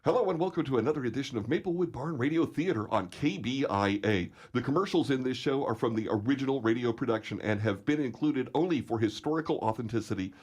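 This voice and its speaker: Male radio theater announcer, formal and measured, mid-pitched. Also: animated, a little mellow, breathy edge.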